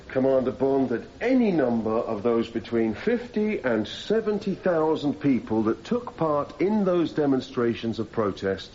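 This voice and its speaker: An actor playing a persistent, formal defence barrister cross-examining a witness in a radio courtroom drama, putting methodical leading questions. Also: male voice, mid-range voice, mellow, natural voice. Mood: pointed, persuasive. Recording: slightly muffled, noisy radio drama recording.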